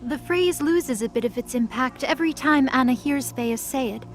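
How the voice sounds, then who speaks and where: A woman's voice: high voice, normal speed. A woman narrating a scripted audio drama as a storyteller. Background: music.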